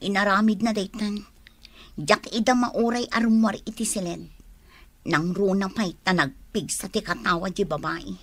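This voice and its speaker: A woman's voice: medium pitch, steady tone, normal speed, no echo, close to an everyday voice. A woman with a Filipino accent acting a devoted young woman wounded in love in a radio drama. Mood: hurt, confiding.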